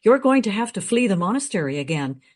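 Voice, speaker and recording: Radio play researcher, female actor: knowledgeable, frank, brisk, very expressive pitch swings. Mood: informative, tactful. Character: well-informed, matter-of-fact female history researcher.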